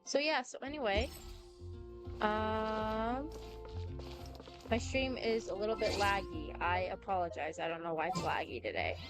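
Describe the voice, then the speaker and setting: A woman's voice: mid-range voice, measured pace, pauses often. A young adult woman chatting casually and informally as she livestreams a game, rambling from thought to thought. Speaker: game streamer. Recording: game music behind voice. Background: video game music.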